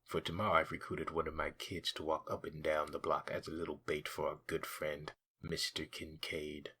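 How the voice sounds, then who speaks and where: An actor playing a calm, dutiful male police officer in a comic dub, husky, measured, polite and formal. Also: rumbling low, heavily acted. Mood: calm, earnest.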